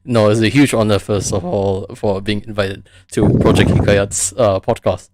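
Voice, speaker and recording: A man's voice: on the low side, fairly even intonation, moderate pace, noisy background. A man from the United States chatting casually as the host of a live stream podcast.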